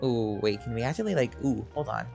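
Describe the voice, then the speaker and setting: A woman gamer with a very low voice reacts casually to a game. She muses aloud with lively swings in pitch and a giggly manner, over soft music. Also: fairly quick, vocal fry. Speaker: gamer reacting while playing. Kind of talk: casual playthrough commentary.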